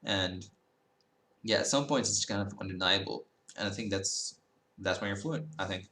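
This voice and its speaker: A man's voice: low voice, moderate pace, bright, forceful tone, echoey room, a little hiss or hum. A man in his early twenties, talking casually to camera in a rambling way, full of fillers and self-corrections. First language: Dutch. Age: 20s. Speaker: language-learning YouTuber.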